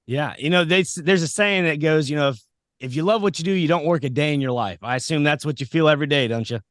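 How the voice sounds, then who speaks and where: Higher-pitched American man, casual podcast host. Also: fast talker, a bit bright, no echo, studio quality. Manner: chatty, rambling, informal. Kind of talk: casual conversational interview. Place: podcast set.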